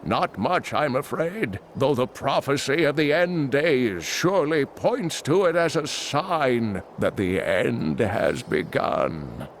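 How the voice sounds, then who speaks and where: A slow gravelly old scholar. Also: male voice, mid-range voice, very animated, slight background noise. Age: older. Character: old male scholar, wise and storytelling.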